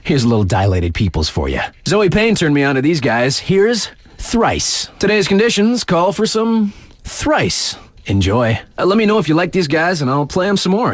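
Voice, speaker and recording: A man's voice: fairly high pitch, no room sound, studio quality. A man playing a hip, laid-back radio DJ on a snowboard mountain in a video game, introducing tracks with slangy, cocky, playful patter.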